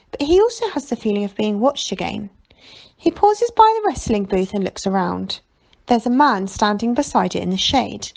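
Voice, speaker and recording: A woman reading a children's novel aloud in an expressive storytelling style. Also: a little high, vocal fry.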